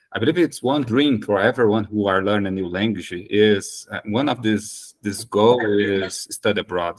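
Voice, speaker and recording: Low-pitched Brazilian man hosting casually. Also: fairly bright tone. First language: Portuguese. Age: 30s. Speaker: host. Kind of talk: casual conversational interview.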